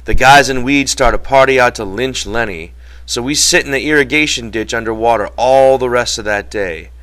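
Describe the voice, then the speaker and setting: Guy reading as a fired-up ranch hand, folksy grammar, sharp raised voice, protective and fed up, audiobook style. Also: mid-range voice, moderate pace, clean tone, voice pushed up, lightly acted, rough recording. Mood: agitated, insistent. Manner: forceful, folksy ungrammatical storytelling. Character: wiry, protective, exasperated working-class ranch hand man.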